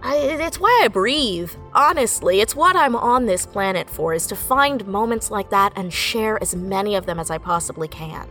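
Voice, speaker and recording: A woman's voice: medium pitch, expressive, moderate pace, few pauses, fairly bright tone, soft background music. A woman in her late twenties speaking earnestly and effusively as a writer in a reflective interview. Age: late 20s. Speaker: audio drama writer and voice actor.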